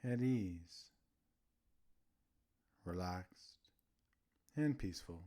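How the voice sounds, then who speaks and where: A man narrating a scripted guided relaxation as a meditation host, soothing, with gentle pauses between phrases. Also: sits low, animated.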